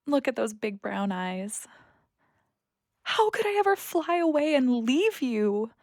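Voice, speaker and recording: A woman's voice: high-pitched, sing-song, takes it slowly, a little mellow, no echo, clean studio sound, heavily acted. A young woman playing a restless, anxious daughter who longs for adventure, acting earnestly in an audio play. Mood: anxious, yearning. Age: young adult.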